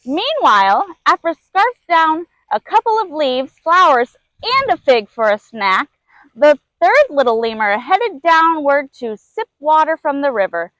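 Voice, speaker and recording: Female storybook narrator, high-pitched, reading aloud for children, recorded dry. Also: very animated, unhurried, a bit bright, dry recording, low-quality recording. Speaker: zoo keeper reading a story.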